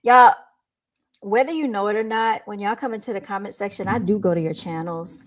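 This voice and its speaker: A woman's voice: medium pitch, a little fast. A woman with a light voice hosting a livestream, chatting informally with her viewers into a microphone. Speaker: livestream host. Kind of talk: casual livestream chat with viewers. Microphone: speaking into a microphone.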